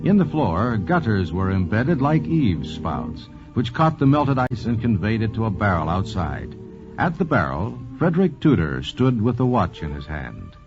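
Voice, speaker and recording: Male American narrator, 1940s radio style, lively mid-range delivery, music behind, dull old recording. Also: on the low side, normal speed.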